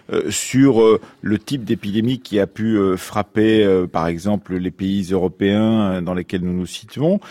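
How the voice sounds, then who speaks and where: A man with a fairly low voice speaks as a radio interviewer in a dry studio. He builds long questions haltingly, pausing often as he works toward his point. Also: dark tone, no echo. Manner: halting, long-winded questions with many pauses. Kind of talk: interview on a history radio programme.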